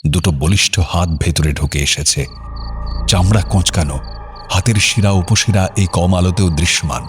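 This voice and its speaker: A man narrating a scripted audio drama in a measured, somber storytelling manner. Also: low-pitched, faint music behind.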